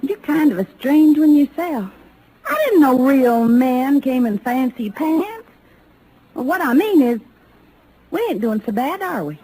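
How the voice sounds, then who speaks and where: A woman's voice: high-pitched, lively intonation, measured pace, mellow. An American woman playing a hot-tempered young frontier gunslinger in a radio western, with folksy, ungrammatical, combative talk. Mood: wistful. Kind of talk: old-time radio western acting. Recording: noisy old radio drama recording.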